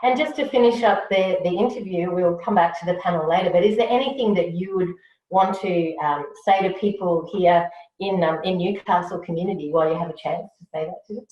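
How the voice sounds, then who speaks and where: A woman with a fairly low voice conducts an interview over a video call. She restates each answer in her own words and affirms it. The audio is dull and echoey, as if from a bare room. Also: fairly quick, dark tone. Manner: summarizes answers back, affirming. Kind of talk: supportive interview questions.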